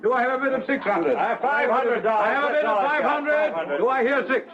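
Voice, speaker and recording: High-pitched showy auctioneer. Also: male voice, animated, a little fast. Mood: urging. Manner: chanting, repetitive, showy. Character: brisk, theatrical male auctioneer chiding bidders. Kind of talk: radio drama auctioneer patter. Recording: dull old radio recording, crowd behind.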